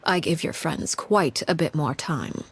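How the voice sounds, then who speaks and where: A woman's voice: low-pitched, lively intonation, unhurried, in a whisper. An actress playing a stern, menacing woman who rules a household, speaking formally and with measure in a video game. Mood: cold, composed. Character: coldly stern, commanding woman, head of a household.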